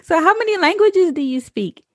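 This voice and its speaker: A woman hosting a casual interview over a video call, upbeat and quick to laugh. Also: very high-pitched, expressive, no room sound, clean studio sound. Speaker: host.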